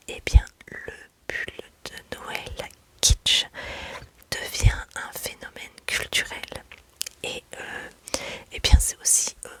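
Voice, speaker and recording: A woman, a mother, whispering softly and hesitantly in a relaxed ASMR chat, pausing often on fillers. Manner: soft, hesitant, many fillers. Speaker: video creator.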